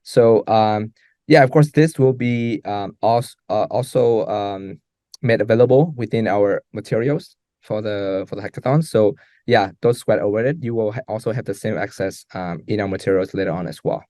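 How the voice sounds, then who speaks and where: A man hosting an event off the cuff, speaking in a halting way with many fillers. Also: fairly low pitch, mellow, no echo, studio quality. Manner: halting, filler-heavy speech. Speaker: hackathon host.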